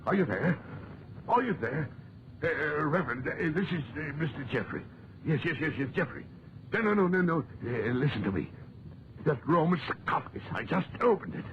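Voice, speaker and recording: An actor playing a stubborn amateur antiquarian who mutters theatrically to himself in a 1940s radio drama. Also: male voice, fairly high pitch, sing-song, moderate pace. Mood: agitated. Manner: theatrical muttering with tag questions and repeats. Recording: muffled, very noisy old 1940s radio recording.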